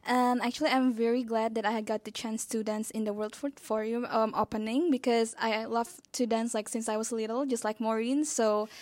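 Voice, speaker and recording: A young woman with a fairly high voice speaks cheerfully, dropping in "um" and "like". The sound is dry, with no room echo. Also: fairly even intonation, normal speed, hardly any pauses, a little mellow. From Indonesia. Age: young adult. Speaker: student and dancer.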